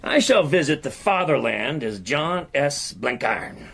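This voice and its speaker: An actor playing a genial, shrewd older American businessman in a radio drama, speaking in a slow American drawl full of hesitations. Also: a little high, lively intonation, gravelly, fairly bright tone, slightly rough, heavily acted.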